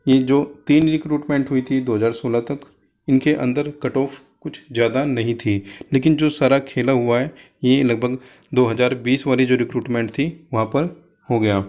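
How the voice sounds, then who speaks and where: A man speaks as a coaching presenter at a middle pitch. He explains things informally, one step after another, addressing students directly and dropping English terms into his sentences. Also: steady tone, normal speed, darkish tone.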